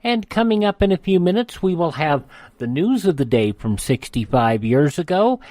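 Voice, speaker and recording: A man's voice: fairly high pitch, big pitch swings, normal speed, darkish tone, no room sound. A man presenting a classic radio program in a scripted hosting style. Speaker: radio host.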